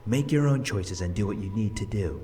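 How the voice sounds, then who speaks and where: Male actor as a wise, gentle grandfather ghost. Low voice, calm and reassuring. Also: fairly quick, faint music behind, lightly acted. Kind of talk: voice acting in a visual novel.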